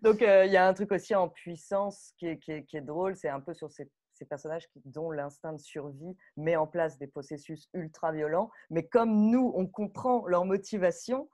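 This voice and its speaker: A woman audio fiction director explaining her craft off the cuff in a live online talk, halting and animated as she thinks aloud. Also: fairly low pitch. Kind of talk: spontaneous spoken explanation at a live online masterclass. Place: bedroom, on a video call.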